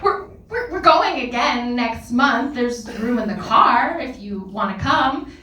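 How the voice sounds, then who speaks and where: A woman's voice: on the high side, animated, unhurried, bright, forceful tone, a little hiss or hum. A woman playing an awkward, flustered, self-scolding character, rambling and correcting herself in a comedy table read. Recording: echoey room, distant mic.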